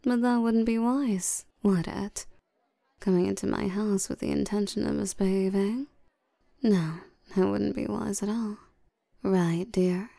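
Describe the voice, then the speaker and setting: Slow, creaky, seductive vampire queen played by a woman. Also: medium pitch, fairly even intonation, dry recording, clean studio sound. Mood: commanding, menacing. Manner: slow, coaxing, commanding, softly menacing. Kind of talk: scripted roleplay audio drama, addressing the listener.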